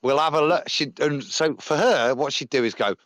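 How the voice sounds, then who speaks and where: A man's voice: normal speed, a bit bright, no echo. A man with a Nottingham accent chatting casually on a live panel, telling rambling stories. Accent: Nottingham English. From England. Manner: chatty storyteller with broad pitch swings and impressions. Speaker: panel guest. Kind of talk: casual chat on a live stream.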